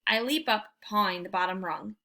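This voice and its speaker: A woman reading a children's book aloud as an expressive storyteller. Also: medium pitch, normal speed.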